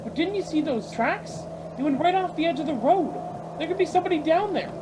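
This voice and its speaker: 男演员扮演的随性司机，语调起伏大. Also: male voice, extremely high pitch, lively intonation, brisk, background noise. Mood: concerned, decisive. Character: easygoing male driver and pilot, bold, folksy. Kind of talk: radio play acting.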